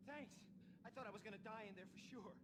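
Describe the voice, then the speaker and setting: A man's voice: high-pitched, fast talker, close to an everyday voice. An actor playing a frightened, frantic male captive in an action game. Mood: panicked. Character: panicky, frightened male captive, jittery and pleading. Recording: loud noise over the voice.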